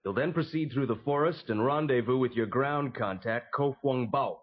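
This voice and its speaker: Man acting a husky military commander. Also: medium pitch, moderate pace, natural voice. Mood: authoritative. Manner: briefing, matter-of-fact. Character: steady, authoritative American military commander. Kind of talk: children's audio story acting. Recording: dull vintage cassette.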